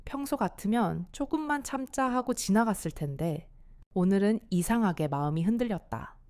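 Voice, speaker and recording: A woman acts out a lonely older wife in an audio drama. She is hesitant and weary, questioning herself. Her pitch is medium and the sound is dry. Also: animated, moderate pace, darkish tone, dry recording, natural voice. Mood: weary, uneasy.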